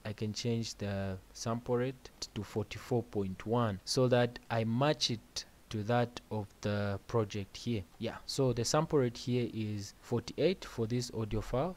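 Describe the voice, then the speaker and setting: A man of middle pitch narrates a software tutorial over his screen recording. He explains each step in a plain, unhurried way and drops in casual asides as he goes. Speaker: music production tutorial host.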